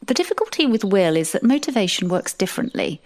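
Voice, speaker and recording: A woman playing a composed, wry supernatural narrator, telling a fantasy tale in a measured, deadpan manner. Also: mid-range voice, close to an everyday voice. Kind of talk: scripted first-person fantasy narration.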